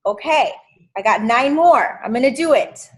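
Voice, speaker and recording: A woman's voice: a little high, expressive, moderate pace, slightly rough. A woman in her fifties hosting a sales training video call, chatty and encouraging. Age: 50s. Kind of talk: casual video call meeting.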